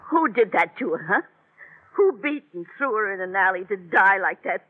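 A woman playing a batty, chatty, excitable old landlady with a folksy, repetitive manner in an old radio drama. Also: mid-range voice, normal speed, natural voice. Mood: tearful, demanding. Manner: folksy, repetitive, chuckling, calls men sonny. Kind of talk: old-time radio acting. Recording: tinny, band-limited vintage radio recording.

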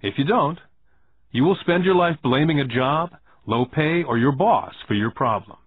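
A man voicing a calm, shrewd older businessman who lectures a boy in a measured, quietly knowing way. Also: on the high side, a little hiss or hum, voice pushed up, natural voice. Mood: lecturing. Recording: tinny phone-like band.

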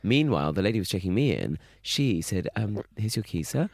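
A man's voice: fairly low pitch, sing-song, fairly quick, vocal fry, dry recording, studio quality. A young man co-hosting a podcast, telling stories in casual chat, with camp, chatty put-on quotes. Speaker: podcast co-host.

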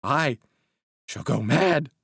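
A man's voice: medium pitch, animated, takes it slowly, creaky, fairly bright tone, breathy edge, no room sound, clean studio sound, pitched above the performer's own voice, exaggerated voice. A man voicing a terrified, broken former madhouse inmate in an audiobook story, crying, gasping and pleading desperately. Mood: hysterical pleading. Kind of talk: dramatic audiobook character voice.

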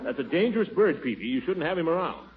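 An actor playing a pompous, blustering middle-aged bachelor in 1940s radio acting, on a tinny old broadcast. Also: male voice, on the high side, sing-song, a faint crowd. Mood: indignant. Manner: blustery, pompous, quick to take offense.

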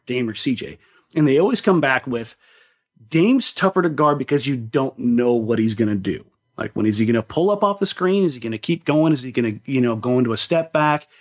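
A man's voice: mid-range voice, highs cut off. An American man talking sports casually as a podcast co-host, emphatic and opinionated, repeating words for stress.

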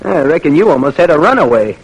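A young man playing a polite, easygoing army private in an old radio drama, with a folksy manner and husky voice. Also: a little high, very animated, fast talker, heavily acted, rough recording. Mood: friendly, helpful. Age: young adult.

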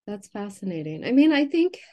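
A woman talking casually as a novelist being interviewed, haltingly thinking aloud and often restarting her sentences. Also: mid-range voice, very animated, dull audio.

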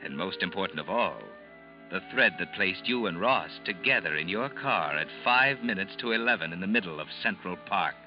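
Low, ominous radio suspense narrator, male. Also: animated. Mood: ominous, knowing. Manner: deliberate, ominous, knowing. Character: sardonic, omniscient male narrator addressing the guilty character. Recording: muffled old radio recording, loud music behind.

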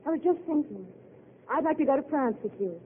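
An eager, earnest young waitress, with a lively voice that moves up and down a lot as she talks. It comes through thin and tinny, like an old radio set with a faint hiss. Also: sits high, brisk. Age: young adult. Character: dreamy, earnest young woman at a desert diner. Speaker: diner waitress. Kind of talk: 1930s radio drama acting.

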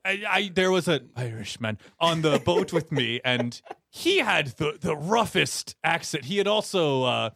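A man playing a rough, boastful eighteenth-century pirate with a gravelly stage-pirate accent on a comedy radio interview. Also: on the high side, expressive, a little slow, hardly any pauses, fairly bright tone, no room sound, heavily acted. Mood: cheerfully bigoted.

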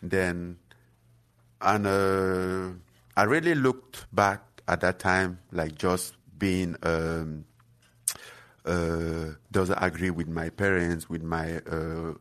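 Deep voiced cameroonian guy, lots of uh and like, earnest chat. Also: steady tone, fairly bright tone. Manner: halting, many fillers and restarts, earnest. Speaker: guest fighter. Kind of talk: casual interview chat.

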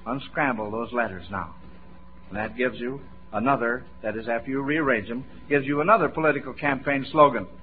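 A man's voice: medium pitch, a little fast, slight background noise. An American man hosting a 1940s radio quiz show, folksy and encouraging, with chuckles in his talk. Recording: dull vintage radio broadcast recording.

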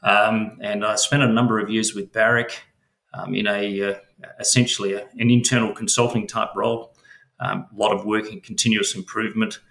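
Australian man, bright voice, echoey room. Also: on the low side, flat delivery, moderate pace, vocal fry. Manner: hesitant, many fillers and restarts. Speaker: mining company chief executive. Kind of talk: interview.

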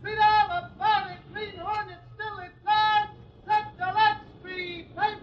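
An actor playing a young street newsboy in a vintage radio drama, crying headlines in a drawn-out singsong shout. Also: male voice, very high-pitched, level tone, highs cut off. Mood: urgent, crying out. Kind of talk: 1940s radio street-cry acting. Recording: distant, echoey old radio recording with music.

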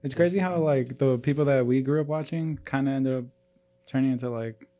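A man in his twenties talks as a podcast co-host. His pitch is average for a man, and he speaks in an informal, conversational way. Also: fairly quick, warm tone. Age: 20s. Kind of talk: casual chat.

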